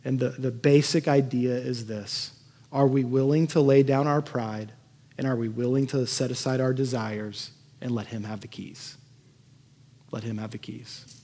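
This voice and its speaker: Guy pastor giving a conversational sermon, raspy kinda higher voice, earnest, repeats stuff for emphasis, long hall reverb. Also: fairly even intonation, unhurried, warm tone. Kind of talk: sermon, conversational preaching to a congregation.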